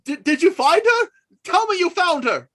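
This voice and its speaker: A woman's voice: extremely high pitch, big pitch swings, moderate pace, fairly bright tone, no room sound, studio quality, full character voice. A woman voicing a frantic, imperious villainous candy king in a cartoon script read, agitated and commanding. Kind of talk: live animated-film table read.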